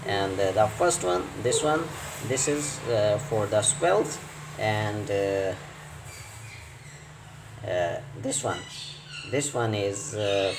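A man explains a repair step by step as a non-native English speaker, in an unhurried, hesitant way with short broken phrases. His voice sits at an ordinary pitch. The recording is roomy and echoey, with clear noise behind him. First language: not English. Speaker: repair tutorial presenter. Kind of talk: hands-on repair tutorial narration.

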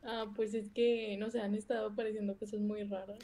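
A woman's voice: mid-range voice, level tone, brisk, warm tone. A woman chatting casually in an informal, slangy way. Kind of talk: casual chat.

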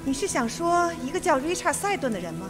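An actress in a radio drama playing a composed, stern female judge who speaks with measured authority. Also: sits high, very animated, music in the background. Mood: cold, firm. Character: composed, stern older female judge, cold and self-assured.

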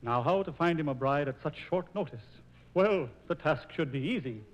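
An actor playing a courteous, dignified lieutenant in a 1940s radio operetta. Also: male voice, a little high, expressive, moderate pace, muffled recording, a loud crowd, natural voice. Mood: courteous, earnest. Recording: slightly noisy 1940s radio recording.

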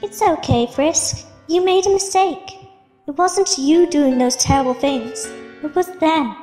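A woman voicing a gentle, encouraging supporter, consoling someone in a dramatic comic dub. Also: sits high, measured pace. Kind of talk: dramatic reading of a written message. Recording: long reverb, music behind.

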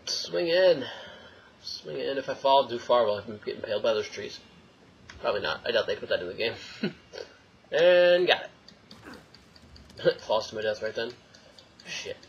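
A man's voice: mid-range voice, lively intonation, normal speed, vocal fry, pauses often. Young guy doing a lets play, jokey rambling, kinda muffled lo-fi mic, bit of noise. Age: young adult. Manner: jokey rambling, talking to himself and the game. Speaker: let's play host. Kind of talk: casual gameplay commentary. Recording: dull lo-fi mic, faint noise.

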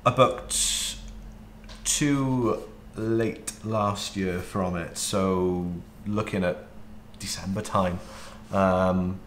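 A Welsh man in his thirties, a wedding videographer, answering interview questions in a relaxed way with frequent ums. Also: low voice, very slow, roomy sound, slight background noise. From Wales. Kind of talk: casual interview. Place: home studio office, indoors.

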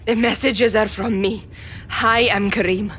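A woman's voice: medium pitch, steady tone, a little slow, fairly bright tone, slight background noise, lightly acted. An actress playing a resolute young woman rebel commander in a video game cutscene. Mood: defiant.

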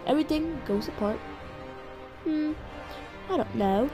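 A woman's voice: high voice, animated, unhurried. A woman voicing a frustrated, obsessive cartoon stick-figure inventor in an improvised comedic fan dub, muttering and swearing. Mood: muttering, absorbed. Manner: muttering, profane. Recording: music playing behind the voice. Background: background music.

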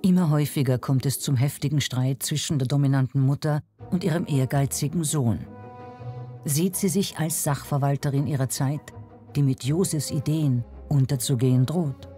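A man reads scripted documentary narration. He is measured and dramatic, with music clearly playing underneath his voice. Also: medium pitch, steady tone, warm tone.

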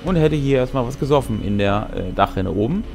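A middle-aged man, sick and tired, hosting a casual, colloquial recap of a tabletop role-playing game and explaining it with dramatic pauses. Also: medium pitch, animated, creaky, a little mellow. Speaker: host and game master. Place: small furnished room with brick wall. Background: music.

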